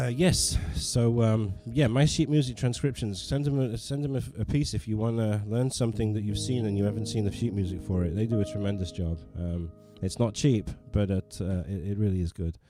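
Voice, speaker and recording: A man hosts a relaxed piano livestream from his living room, talking in a loose, rambling way and laughing at his own limits. His voice sits a little low and sounds very warm and dark. A piano plays softly behind him. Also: moderate pace. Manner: rambling, self-deprecating, many restarts. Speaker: livestream host and pianist. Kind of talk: casual livestream chat.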